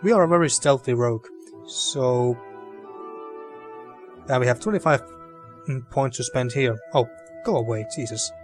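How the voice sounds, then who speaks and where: A man's voice: mid-range voice, normal speed, pauses often, over music. A man with a dry throat casually commenting on a game as he plays, thinking aloud. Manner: relaxed, thinking aloud, mildly sweary. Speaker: gaming video host.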